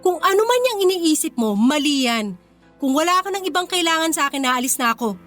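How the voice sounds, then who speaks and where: A woman's voice: sits high, very animated, a little fast, a bit bright, soft background music. A woman acting a poor but proud young working woman in a radio drama, speaking with earnest, wounded dignity. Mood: defiant.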